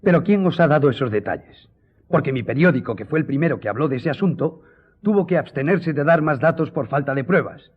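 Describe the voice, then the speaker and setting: A man's voice: on the high side, animated, brisk, breathy edge. A man playing an earnest, challenging newspaperman who speaks directly and insistently in a radio drama. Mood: stern, insistent. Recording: thin phone-like audio.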